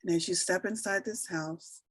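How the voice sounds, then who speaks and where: A woman with a low voice guides a meditation in a soothing, unhurried manner. She leaves long pauses between her gentle instructions. The sound is dry, with very little room around the voice. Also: no room sound. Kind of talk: slow guided meditation narration.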